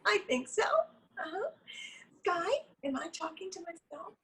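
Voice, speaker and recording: A woman's voice: extremely high pitch, animated, measured pace, fairly bright tone, slight background noise, heavily acted. A woman playing a frazzled, defensive, lovestruck goddess in a comedy table reading, flustered and insistent. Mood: preachy. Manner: flustered, insistent, nervous laughs. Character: frazzled, defensive, delusional lovestruck goddess Luella, meeting leader.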